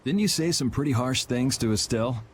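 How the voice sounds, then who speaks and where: An actor playing a cool, wry young guild vigilante in a video game, speaking in a dry, casual, sardonic way. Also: male voice, mid-range voice, a little fast, slight background noise, close to an everyday voice. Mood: casual, probing. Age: young adult.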